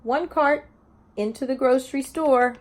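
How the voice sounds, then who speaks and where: A woman's voice: sits high, a little slow. A woman teacher giving an informal, explanatory lesson built around reading a children's picture book aloud. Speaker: teacher presenting educational read-aloud videos for teachers.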